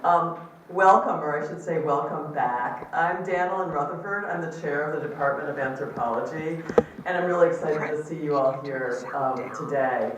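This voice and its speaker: A woman's voice: low voice, moderate pace, slight background noise. A woman chairing a department, giving an upbeat welcome speech at a ceremony with frequent ums. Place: large echoey lecture hall. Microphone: amplified microphone recorded from a distance. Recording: very echoey hall from far off.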